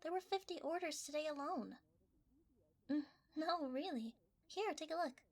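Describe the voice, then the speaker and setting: A woman playing a flustered, winded delivery worker in a hesitant, breathy ASMR roleplay. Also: high voice, animated, moderate pace. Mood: chatty, evasive. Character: flustered, winded young blanket delivery worker, chatty and shifty.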